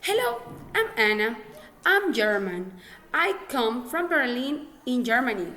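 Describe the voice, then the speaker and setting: A woman's voice: fairly high pitch, sing-song, measured pace, bright, forceful tone, exaggerated voice. A woman voicing a friendly young female student introducing herself, reading carefully in an Ecuadorian Spanish accent. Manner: plain, careful reading aloud.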